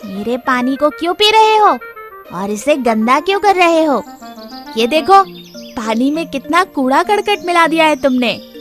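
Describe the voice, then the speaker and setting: Woman as scheming male wolf, children's tale, blustering, accusing, slow drawl, faint music. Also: high-pitched, sing-song, a bit bright, voice pushed up, heavily acted. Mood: angry, accusing. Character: big greedy bullying male wolf, scheming and quarrelsome.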